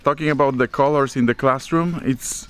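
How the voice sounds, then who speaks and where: A man's voice: medium pitch, normal speed, clean tone. Man vlogging outdoors. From Poland. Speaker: travel vlogger host. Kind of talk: casual walking-tour commentary. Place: outdoors in a hot remote village.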